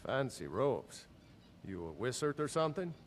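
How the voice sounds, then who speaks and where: A man's voice: mid-range voice, very animated, normal speed, close to an everyday voice. An actor playing a blunt, wary male jarl of a city in a fantasy video game. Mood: skeptical. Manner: blunt, plain-spoken lordly delivery. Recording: faint noise and game ambience.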